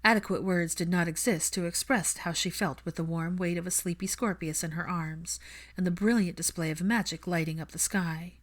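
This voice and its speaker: A woman's voice: on the low side, steady tone, moderate pace. A woman reading fiction aloud as an audiobook narrator, in a scripted storytelling style.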